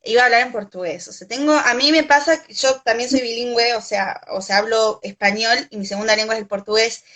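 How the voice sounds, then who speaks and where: An Argentine woman talking through an idea in casual conversation, her voice bright and a touch high. She pauses often with fillers and restarts, sounding like a Spanish teacher explaining to a colleague. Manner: thoughtful, many fillers and restarts.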